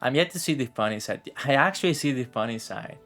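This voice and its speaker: A man's voice: mid-range voice, expressive, fast, a bit bright. A man giving casual live game commentary as a streamer, musing to himself and his chat. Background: faint game music.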